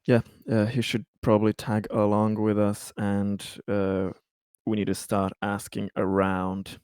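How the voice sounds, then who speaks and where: A man with a fairly low voice plays a tabletop roleplaying game, casually discussing ideas and plans with the others. The sound is dry and close. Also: monotone, unhurried, a little mellow, clear, resonant tone, dry recording.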